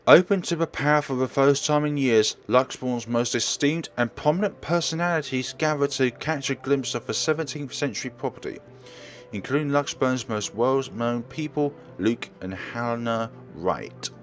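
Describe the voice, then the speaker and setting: A man's voice: mid-range voice, moderate pace, faint music behind. A man reading a visual novel aloud as a let's player, narrating expressively and sometimes stumbling and correcting himself.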